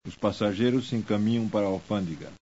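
A man with a somewhat low voice reads scripted language drills, each sentence slow and deliberate. His delivery stays evenly paced and instructional. The recording has the dull, lo-fi sound of an old course tape. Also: dark tone.

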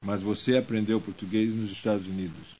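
A man with a somewhat low voice reads scripted language drills, each sentence slow and deliberate. His delivery stays evenly paced and instructional. The recording has the dull, lo-fi sound of an old course tape. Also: fairly even intonation, mellow, slight background noise.

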